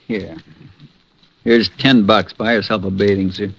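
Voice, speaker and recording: An actor playing a gruff, sarcastic, jealous wealthy husband in an old radio drama. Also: male voice, lowish voice, steady tone, measured pace, exaggerated voice, low-quality recording. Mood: grumpy. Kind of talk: 1950s radio drama acting.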